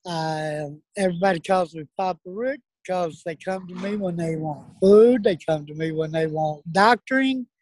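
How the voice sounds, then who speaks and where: A man's voice: sits high, normal speed, hardly any pauses, a little mellow. A middle-aged man, speaking earnestly and emphatically as a guest. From South Dallas, Texas. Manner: earnest, emphatic, plain-spoken. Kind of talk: casual interview talk, preaching.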